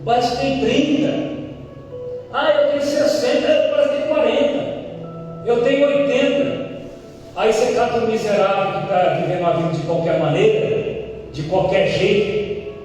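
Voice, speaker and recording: A man from Brazil preaches with emphatic, swelling delivery, acting out short exchanges between people. His voice sits fairly high. He is heard from a distance in a large, long-echoing church hall with faint music underneath. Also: a little slow, long pauses, a little mellow. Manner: emphatic preaching, rising to exclamations and acting out dialogues. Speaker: pastor. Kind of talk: sermon. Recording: echoey church hall, mic far off.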